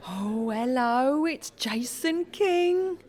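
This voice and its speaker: A woman's voice: high voice, expressive, drawn-out words, a little mellow, full character voice. A woman playing a kindly, doting older pub regular in a comic radio play. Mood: sweet, concerned.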